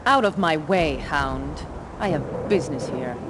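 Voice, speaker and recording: A woman's voice: sits low, expressive, unhurried, noisy background. A woman playing a resolute, defiant sorceress heroine in a game cutscene, speaking in a terse, commanding way. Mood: cold defiance. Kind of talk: dramatic video game cutscene acting. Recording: very loud music under the voice. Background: loud cinematic game music.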